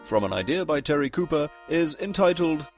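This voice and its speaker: A man's voice: on the high side, big pitch swings, a little fast. A man playing the narrator of a comedy audio series, reading a scripted episode introduction. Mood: announcing. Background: music.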